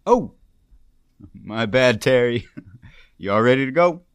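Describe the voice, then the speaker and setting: An actor playing an easygoing radio station producer, chatting casually with colleagues. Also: male voice, mid-range voice, lively intonation, slow, clean tone, no echo, studio quality. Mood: relaxed. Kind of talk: casual workplace chat.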